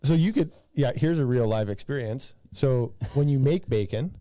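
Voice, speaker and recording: A man's voice: medium pitch, animated, moderate pace, dark tone, no room sound. A man chatting casually as a co-host on a podcast. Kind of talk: casual banter.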